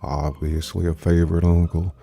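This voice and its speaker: A man's voice: deep voice, flat delivery, normal speed, creaky, warm tone. A man hosting and narrating a dramatized live audiobook reading, with music playing behind him.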